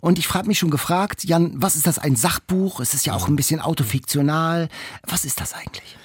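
A man's voice: on the high side, brisk, slightly rough, no echo. A man, co-hosting a conversational book podcast in an enthusiastic, reflective manner. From Germany. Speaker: podcast co-host discussing books.

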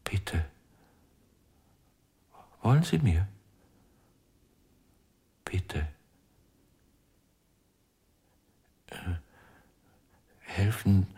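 An actor in a radio play playing a desperate, broken ventriloquist with a husky, often whispering voice and a halting, pleading manner. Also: male voice, on the low side, dark tone, exaggerated voice. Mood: helpless pleading. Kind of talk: German radio play acting.

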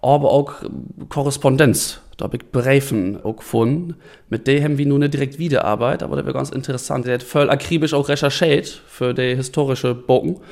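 A man's voice: medium pitch, steady tone, a little fast, vocal fry, darkish tone. A man with a Northern German accent giving a spontaneous interview account as a researcher. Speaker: university project researcher.

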